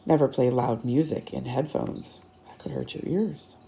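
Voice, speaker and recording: A woman reading a picture book aloud to children as a storytime host, animated and teacherly, with dramatic delivery and little asides. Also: very deep voice, normal speed, slight background noise.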